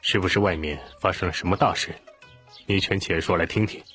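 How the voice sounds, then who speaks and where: Man playing a calm commanding prince, deep voice. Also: soft background music. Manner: measured, restrained. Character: calm, shrewd, commanding middle-aged prince.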